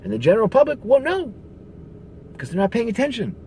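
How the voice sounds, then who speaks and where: Sarcastic man ranting with lively pitch. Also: fast talker, slight background noise. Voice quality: profane, sarcastic, emphatic. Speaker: political commentary host. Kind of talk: ranting commentary.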